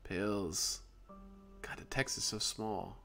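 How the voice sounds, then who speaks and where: A man's voice: lowish voice, fairly even intonation, slow, gravelly, sharp tone, faint music behind. A man hosting a let's play, chatty and musing aloud as he reads on-screen text. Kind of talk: gaming commentary.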